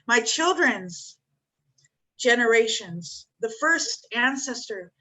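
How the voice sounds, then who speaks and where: A woman's voice: on the high side, lively intonation, takes it slowly, bright, forceful tone, rough recording. A woman in her fifties, talking earnestly in a spiritual chat and repeating her thanks. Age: 50s.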